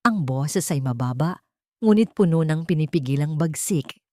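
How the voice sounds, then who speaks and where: Woman narrator, low-pitched, dry, dramatic scripted story. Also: lively intonation, normal speed, mellow, no echo.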